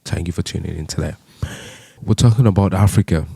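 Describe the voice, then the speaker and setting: A man's voice: fairly quick, gravelly, mellow, slightly rough, no echo, clean studio sound. A man from Cameroon giving an impassioned commentary monologue, full of rhetorical questions. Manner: impassioned, rhetorical questions, rising pitch when stressed. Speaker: vlogger.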